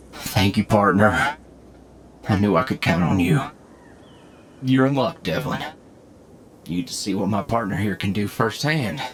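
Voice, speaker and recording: An actor playing a loyal, steady gunslinger, earnest and urgent, in an anime-style audio drama. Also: male voice, lowish voice. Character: loyal, steady male gunslinger pleading for his partner's help.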